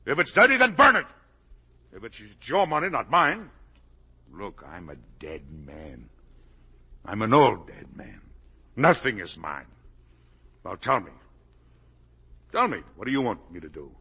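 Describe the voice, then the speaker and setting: An actor playing an older, guilt-ridden, blustering father in 1950s radio drama, with a gravelly, raspy voice. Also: medium pitch, wildly swooping pitch, unhurried, pauses often, exaggerated voice. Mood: broken, resigned. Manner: blustering, emphatic, swinging from muttered to shouted.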